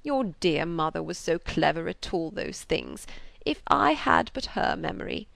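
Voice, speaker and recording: A woman voicing a gentle, fretful, doting old father in an audiobook, plaintive and hesitant, rambling along. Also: medium pitch, sing-song, normal speed, gravelly, no echo. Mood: wistful, fond. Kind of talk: audiobook character reading of a classic novel.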